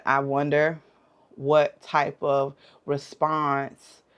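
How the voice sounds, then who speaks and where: A woman giving casual, reflective commentary as a reaction host, halting and thoughtful. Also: a bass voice, clear, resonant tone, dull audio.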